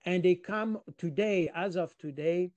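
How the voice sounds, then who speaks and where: High-pitched Swiss man explaining in interview. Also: takes it slowly, darkish tone, muffled recording. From Switzerland. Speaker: interviewed analyst.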